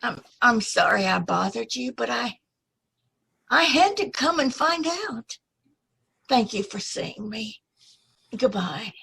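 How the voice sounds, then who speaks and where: A woman acting a timid, polite young woman in an old-time radio play, speaking hesitantly and apologetically in a breathy, husky voice. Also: a little high, expressive, slow pace, pauses often, pushed and bright, exaggerated voice. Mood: timid, apologetic. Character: timid, polite young woman seeking her lost brother.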